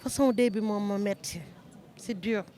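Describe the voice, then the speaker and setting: A woman's voice: mid-range voice, moderate pace, warm tone, slight background noise. A woman with a Senegalese French accent, interviewed outdoors, speaking in a sorrowful, reflective way. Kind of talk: street interview.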